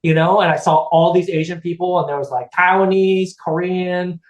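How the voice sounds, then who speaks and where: A man's voice: sits high, fairly even intonation, moderate pace, echoey room. A man in his fifties from California, a podcast guest chatting casually, rambling with many restarts and fillers and laughing easily. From United States (California). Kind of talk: casual chat.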